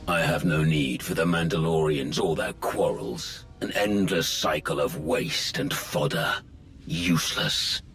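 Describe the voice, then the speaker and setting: A menacing game villain, very low and slow. He delivers grandiose, ominous pronouncements with cold conviction, a gravelly edge in his voice and faint music behind him. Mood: cold contempt. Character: imprisoned male dark lord, menacing, coldly grandiose visionary. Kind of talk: dramatic video game villain acting.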